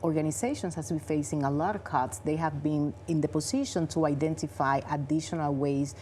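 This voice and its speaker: A woman in her forties with a Dominican Spanish accent and Spanish as her first language, patiently explaining things in English that slips in its grammar now and then. Her voice sits low and sounds mellow and warm. A light background hiss runs under the recording. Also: fairly even intonation, fairly quick. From Dominican Republic. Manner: earnest and explanatory, non-native grammar. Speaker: bank vice president, guest. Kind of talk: interview answers.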